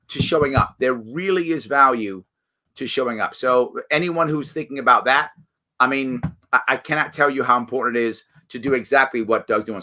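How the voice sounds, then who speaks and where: A man hosting a live stream, talking casually and reading viewer comments, his voice raspy and a bit hoarse from weeks of campaigning. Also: medium pitch. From New York State. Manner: conversational, animated, frequent fillers and restarts. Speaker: show host.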